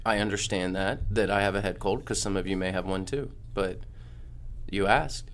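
A man's voice: deep voice, fairly even intonation, normal speed. A man and pastor with a head cold, talking casually on a podcast in a studio, explaining with lots of fillers and restarts.

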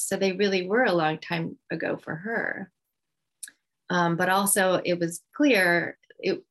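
Low, gravelly-voiced woman author, halting. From United States. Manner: thoughtful, halting, many ums. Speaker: author guest. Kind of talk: reflective interview answer.

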